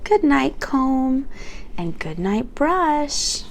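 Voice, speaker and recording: Slow, high-voiced storytime reader woman. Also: big pitch swings, gravelly, a little hiss or hum. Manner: slow, gentle, sing-song storytime delivery. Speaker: children's book read-aloud host. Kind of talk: reading a picture book aloud to children.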